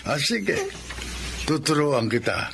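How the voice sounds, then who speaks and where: An actor playing a kind, gentle widowed grandfather in a radio drama, speaking softly and patiently. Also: on the high side, big pitch swings, slow, vocal fry, noisy background, heavily acted. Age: older. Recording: music underneath.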